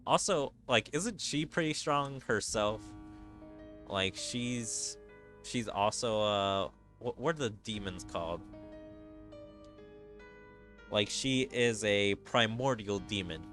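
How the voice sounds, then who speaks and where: A man's voice: lowish voice, takes it slowly, a bit bright, faint music behind. A man giving casual commentary while he plays a video game. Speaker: streamer playing a mobile game.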